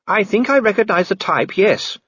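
A man's voice: high-pitched, big pitch swings, fairly quick, breathy edge, no room sound, lo-fi sound, pitched above the performer's own voice, full character voice. A man voicing a firm, imperious, exasperated upper-class wife in an audiobook. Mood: exasperated, dry. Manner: firm, imperious, patient but insistent. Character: commanding, exasperated aristocratic wife, mature woman.

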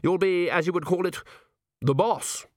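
A man voicing a scheming upper-class gentleman, formal, condescending and impatient, in a comic fantasy audiobook. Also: high voice, normal speed, dry recording, clean studio sound, pitched above the performer's own voice, natural voice. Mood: exasperated. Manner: formal, wheedling, pompous.

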